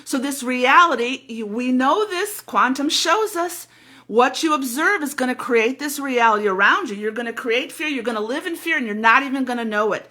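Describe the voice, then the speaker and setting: A woman with a loud voice giving a fervent spiritual teaching, emphatic and repeating words as she goes. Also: sits high, expressive, moderate pace.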